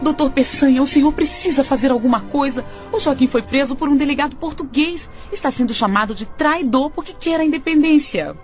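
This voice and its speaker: A woman's voice: sits high, fairly quick, few pauses, a little mellow, faint music behind, lightly acted. A woman with a Brazilian accent playing an anxious, devoted young wife in a radio drama, earnest and pleading. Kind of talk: Brazilian radio soap acting.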